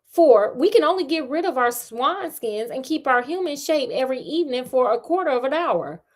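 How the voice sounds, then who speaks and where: A woman's voice: high voice, big pitch swings, moderate pace, pitched above the performer's own voice. A woman voicing earnest enchanted swan brothers cautioning their sister in a fairy tale. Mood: urgent warning. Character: six enchanted swan brothers, urgent young men warning sister.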